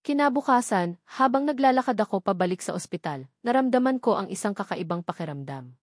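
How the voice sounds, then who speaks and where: A woman's voice: mid-range voice, expressive, fairly quick, no echo. Filipino woman narrating a dramatic romance, dry sound. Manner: emotive, with short phrases set apart for drama. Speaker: story narrator. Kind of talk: dramatic first-person scripted narration of a romance story.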